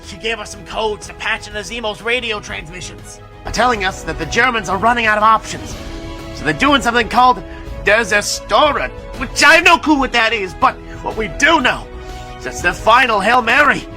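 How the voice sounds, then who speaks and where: An eager American wartime scientist in a 1940s-flavoured audio drama. The voice is high, animated and extremely bright. He gushes in excitable, folksy slang. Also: normal speed, soft background music, heavily acted. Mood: eager briefing. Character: excitable male scientist aide in 1940s war room.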